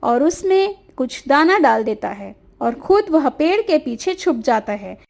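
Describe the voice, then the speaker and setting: Very high, slow-paced woman storyteller. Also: very animated, creaky, darkish tone. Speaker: narrator. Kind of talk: scripted children's story narration.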